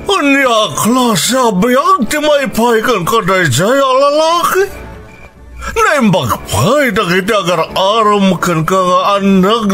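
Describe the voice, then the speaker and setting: A man's voice: high voice, pushed and bright, exaggerated voice. An actor playing a wealthy, stern father who scolds his daughter with scorn, in a radio drama.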